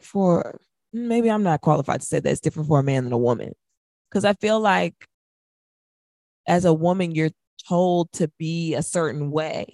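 A woman with a fairly low voice co-hosts a casual radio talk show. She speaks conversationally and puts her opinions forward. The sound is dry, with no room around it. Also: darkish tone, dry recording. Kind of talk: casual chat.

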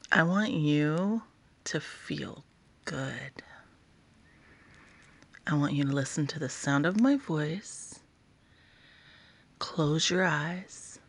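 A sultry woman speaks low and unhurried, as if leaving a private flirty voice message. Her voice has a gravelly fry and slips toward breathy near-whispers. The teasing delivery is intimate and direct. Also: fairly bright tone. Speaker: adult content creator.

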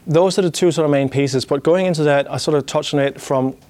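A man's voice: on the high side, fairly quick, a little mellow. A man with a Danish accent giving a business talk, thinking aloud with many restarts and "you know" fillers.

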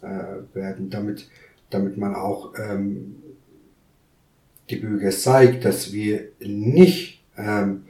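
An earnest man in his thirties, speaking in a fairly low voice and pausing often to search for words. He sits some way back from the mic in a roomy, echoing space. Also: steady tone, warm tone. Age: 30s. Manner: earnest, halting with many fillers. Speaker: podcast guest, council member. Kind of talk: casual interview answers. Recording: roomy echo, mic at a distance.

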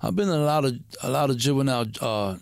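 A middle-aged man from Puerto Rico, a guest in a casual interview, who talks in a halting way, repeating words and using street slang. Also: medium pitch, animated, gravelly, smooth, no echo, studio quality.

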